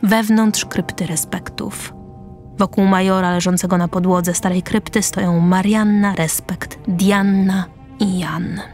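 A woman narrates a scripted radio play over music. Her mid-pitched voice moves slowly through short, measured phrases of stage direction. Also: steady tone, a little mellow. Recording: music behind the voice.

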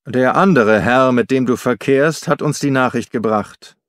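A man voicing an angry, possessive father in an audiobook, gruff and blustering. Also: mid-range voice, normal speed, dry recording, studio quality, natural voice. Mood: stern. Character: angry, possessive working-class father of a grown daughter.

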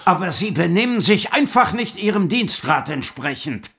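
A man's voice: sits high, sing-song, normal speed, fairly bright tone, slightly rough, heavily acted. A man acting a stern, irascible police superintendent, pompous and clipped, in a German radio drama. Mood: gruff, grudging.

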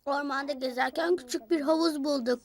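A woman's voice: high voice, brisk. A woman voicing an eager five-year-old boy who narrates in simple childlike phrases for a children's show dub. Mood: curious.

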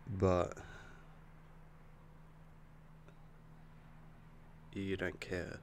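Werewolf boyfriend played by a man, ASMR roleplay: young, protective, quick-tempered but loving; low voice, unhurried, hesitant. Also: completely flat delivery, slight background noise. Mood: tender, musing. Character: affectionate young male werewolf boyfriend, easily angered, protective. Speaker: roleplay audio performer.

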